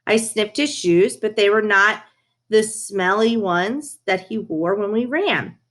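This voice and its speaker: Lady reading a kids book out loud, telling it as the dog, medium pitch voice. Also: slow. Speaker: reader of a children's book. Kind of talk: storybook read-aloud in first person as a dog.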